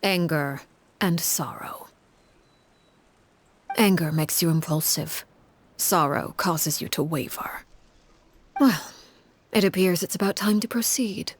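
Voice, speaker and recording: Low-voiced, cold, commanding matriarch. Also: female voice. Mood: cold, measured. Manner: measured, formal, commanding. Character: cold, strict woman leading an orphan household, called Father. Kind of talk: video game voice acting.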